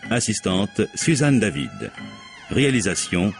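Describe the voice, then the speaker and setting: A man's voice: fairly low pitch, huge pitch swings, a little slow, vocal fry. A man reading scripted closing credits as a radio announcer. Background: music.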